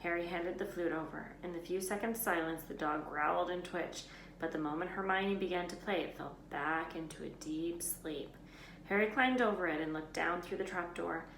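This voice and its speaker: Low-pitched woman reading aloud in an echoey room. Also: normal speed, a bit bright. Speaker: read-aloud storyteller. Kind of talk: expressive children's novel narration. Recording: roomy echo, faint background noise.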